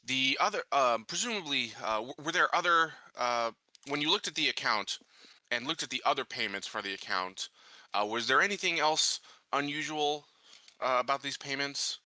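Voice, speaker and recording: A man playing a tabletop game, improvising casually and haltingly as he searches for words. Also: medium pitch, expressive, bright, forceful tone, dry recording.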